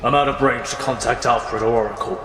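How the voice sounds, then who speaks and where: A man voicing a grim, commanding vigilante detective, terse and stern, in a low hushed growl in an audio story. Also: steady tone, brisk, reverberant, in a large hall, lightly acted. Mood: grim, focused. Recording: music bed under the voice.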